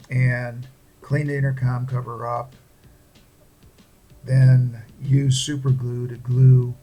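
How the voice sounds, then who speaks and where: A man talking casually through a hobby project without a script, in a halting, plain-spoken way. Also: medium pitch, gravelly, darkish tone. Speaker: hobbyist presenter. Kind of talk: casual unscripted project walkthrough.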